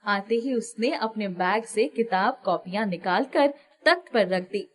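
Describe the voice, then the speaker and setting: A woman narrating a scripted children's moral story with expressive delivery. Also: mid-range voice, a little slow.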